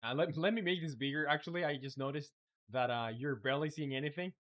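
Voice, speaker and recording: A man's voice: on the high side, quick pace, muffled recording. A young man from Chile whose first language is Spanish, talking casually and thinking aloud while building his game on a live stream. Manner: relaxed, thinking aloud with many fillers.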